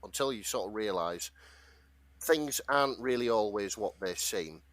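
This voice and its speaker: Man with a lowish voice, chatting. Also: lively intonation, normal speed, a bit bright, dry recording. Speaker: podcast co-host presenting a fan fiction story. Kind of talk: casual chat, summarising and reading out a story.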